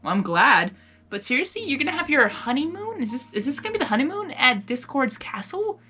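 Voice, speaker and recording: A woman doing a casual read-aloud with commentary, expressive and often breaking off into exclaiming asides. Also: high voice, a little fast. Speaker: reader of fan fiction, reacting as she goes.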